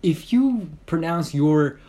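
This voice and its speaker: A man from Georgia in the US hosting a podcast, talking in a chatty, animated way with lots of fillers. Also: a little high, slow. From Georgia, USA. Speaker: podcast host. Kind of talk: casual chat.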